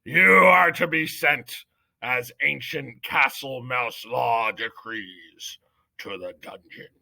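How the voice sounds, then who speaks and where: Storybook mouse elder, pompous and stern, deliberate booming delivery, slightly gravelly, put on by a male reader. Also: sharp tone, dry recording, full character voice. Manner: booming, stern, slow and solemn. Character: pompous old male head mouse judge. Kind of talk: audiobook character reading.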